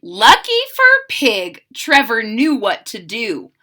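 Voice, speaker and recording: A woman reading a picture book aloud in a theatrical, drawn-out way, coaxing young listeners with questions and explanations. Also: fairly high pitch. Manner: animated, theatrical, drawn-out emphasis; coaxing, explaining asides to children. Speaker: children's story reader and channel host.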